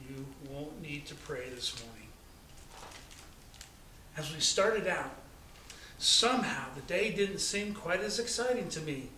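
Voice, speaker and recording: An American man, a pastor, reading a children's story aloud in an expressive storytelling way. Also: on the high side. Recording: echoey, distant, noisy room recording.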